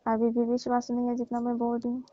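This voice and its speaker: A woman's voice: on the high side, completely flat delivery, fast talker, mellow, dry recording, close to an everyday voice. A woman playing a coaxing, teasing middle-aged auntie who persuades and placates in a staged comic phone call. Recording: dull, dry lo-fi phone recording.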